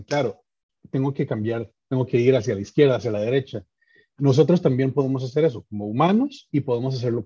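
A young Costa Rican man speaks as a podcast guest, his voice soft-edged and husky. He explains technical material in a halting way, thinking aloud. The audio is a little dull and lo-fi. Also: medium pitch. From San Carlos, Costa Rica. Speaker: podcast guest, doctoral student in engineering. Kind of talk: explanatory interview talk.

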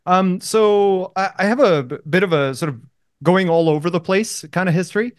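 A man from Norway, a guest in a casual interview who talks in a relaxed way and repeats words as he starts sentences. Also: sits high, normal speed, no echo, studio quality.

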